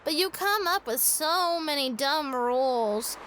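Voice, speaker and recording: A woman's voice: high voice, animated, a little slow, fairly bright tone, slight background noise. A woman playing a vain, bratty, spoiled little girl in a horror radio drama. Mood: scornful.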